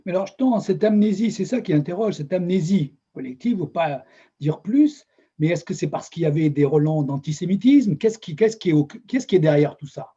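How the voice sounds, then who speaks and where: Male interviewer, husky and really dark voice, kinda earnest, restarts a lot, dull lo-fi audio. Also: fairly high pitch, animated, fairly quick. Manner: earnest, searching questions with restarts. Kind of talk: interview questions.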